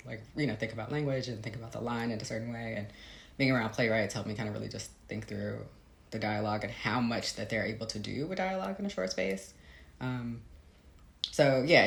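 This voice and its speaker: A woman's voice: a bass voice, creaky, reverberant. A woman author giving reflective, conversational interview answers in a thoughtful, hesitant manner. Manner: thoughtful, hesitant, often laughing lightly. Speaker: guest author.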